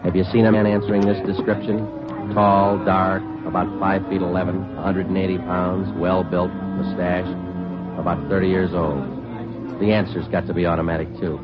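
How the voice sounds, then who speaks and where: An American man acting a terse, weary police sergeant who narrates a vintage radio crime drama in a matter-of-fact deadpan. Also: sits low, moderate pace, natural voice. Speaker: lead actor and narrator. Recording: muffled old radio recording, music behind.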